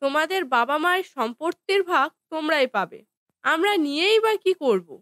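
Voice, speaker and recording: A woman's voice: high voice, expressive, moderate pace, a bit bright, no room sound, studio quality. A woman playing a sweet, fair-minded young wife in a cartoon family drama, soothing and polite. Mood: reassuring, sweet.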